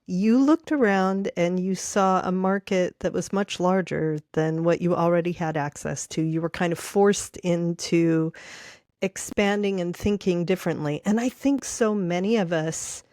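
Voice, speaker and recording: A woman's voice: lowish voice, measured pace, a little mellow, dry recording, clean studio sound. A woman hosting a podcast interview, encouraging her guest with affirming murmurs and open questions. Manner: encouraging, frequent affirming backchannels. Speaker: podcast host.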